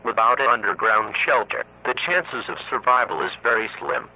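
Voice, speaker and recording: A man reading scripted emergency weather warnings in a formal, monotone synthetic voice. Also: mid-range voice, moderate pace. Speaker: emergency alert announcer. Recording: muffled lo-fi radio broadcast, faint noise.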